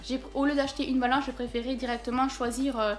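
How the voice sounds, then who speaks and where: A woman's voice: high voice, flat delivery, fast talker, soft background music. A woman chatting casually while unboxing a parcel at home, thinking aloud with many fillers. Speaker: vlogger unboxing a package.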